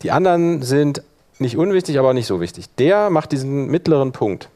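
A man leading a workshop, explaining informally and thinking aloud while he operates the software. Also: medium pitch, animated, brisk. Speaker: workshop instructor.

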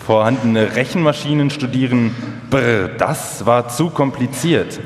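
An actor playing a wry, self-assured male engineer recalling his work with expressive, opinionated emphasis in a live radio feature. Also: medium pitch, measured pace, lightly acted. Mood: dismissive, amused. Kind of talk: dramatised quotations in a live documentary radio feature. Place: live stage performance in a hall. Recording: echoey hall, long reverb.

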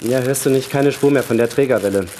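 A bored, needling male astronaut on a moon station , acted by a man for a radio play. His voice is medium in pitch and casually sardonic. Some noise sits on the recording. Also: fast talker, close to an everyday voice. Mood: bored, teasing. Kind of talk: staged radio play reading.

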